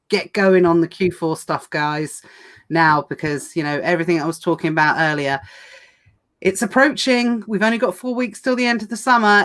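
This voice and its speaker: Woman, livestream host, hoarse voice, chatty with many fillers, lowish pitch. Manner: chatty, lots of fillers and restarts. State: hoarse, losing her voice. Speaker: podcast host. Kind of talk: casual livestream chat.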